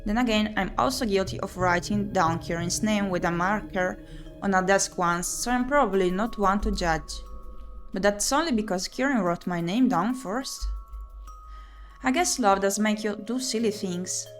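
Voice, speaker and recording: A woman's voice: mid-range voice, moderate pace, lightly acted. A woman voicing an uneasy, sardonic young female explorer in a visual novel, with dramatic, wry self-talk. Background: game music.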